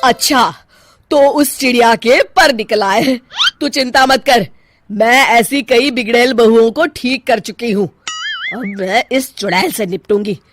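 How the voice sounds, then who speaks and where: A woman's voice: a little high, unhurried, soft background music, lightly acted. A woman voicing a bossy, fearless older mother-in-law in a cartoon moral story, scolding, taunting and commanding. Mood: indignant, scheming.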